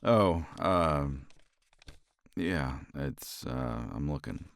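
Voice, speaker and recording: Casting: deep, gravelly-voiced man, tender partner roleplay, lively intonation. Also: very slow, dry recording. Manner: tender, reassuring partner talk to a listener. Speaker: ASMR roleplay performer. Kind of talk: romantic ASMR roleplay, intimate soft talk.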